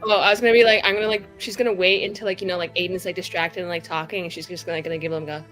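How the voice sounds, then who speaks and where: A woman casually improvising her character's actions as a player in a tabletop game, a bit halting. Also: lowish voice, steady tone, a bit bright, faint music behind, poor audio.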